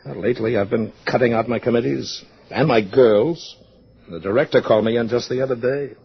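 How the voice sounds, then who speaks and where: An actor playing an earnest, troubled writer and loyal friend, pleading in a measured mid-century radio drama style. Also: male voice, medium pitch, creaky, natural voice. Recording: dull, lo-fi old radio recording.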